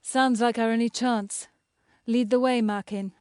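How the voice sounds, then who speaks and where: An actress playing a determined, assertive young heroine in a game cutscene. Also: female voice, fairly high pitch, steady tone, measured pace, a little mellow, clear, resonant tone, no echo, lightly acted. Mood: impatient. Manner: direct, assertive. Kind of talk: scripted game cutscene acting.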